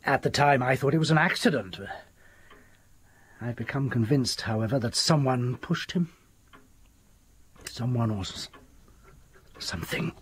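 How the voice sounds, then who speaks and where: An actor playing a haunted, desperate older doctor in a radio drama, telling things in a halting, theatrical way with a husky, creaky voice. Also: male voice, medium pitch, fairly bright tone, slight background noise, close to an everyday voice. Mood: grim, ominous.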